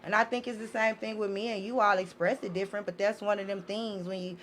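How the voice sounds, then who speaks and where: Bright-voiced outspoken woman, faintly noisy room. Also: medium pitch, quick pace. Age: 40s. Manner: outspoken, animated, emphatic, often addressing listeners directly. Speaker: podcast guest, interviewer in clubs. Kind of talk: casual opinionated podcast talk with heavy slang and swearing.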